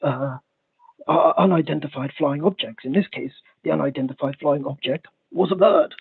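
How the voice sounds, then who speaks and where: A man calling in to a comedic talk show, talking in a halting, repetitive, stammering way over a phone line. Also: a little high, gravelly, slightly rough. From Spain. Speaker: caller. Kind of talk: rambling comedic call-in.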